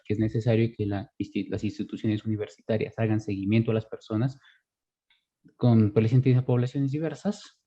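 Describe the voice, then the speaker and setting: A man's voice: fairly low pitch, mellow, husky. A man giving a formal presentation as a speaker at an academic conference, heard through dull, muffled audio.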